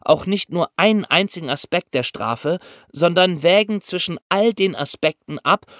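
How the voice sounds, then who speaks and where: A man's voice: high-pitched, normal speed, a bit bright, no echo, studio quality. A man co-hosting a podcast for young listeners, explaining ideas step by step. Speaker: podcast co-host explaining law.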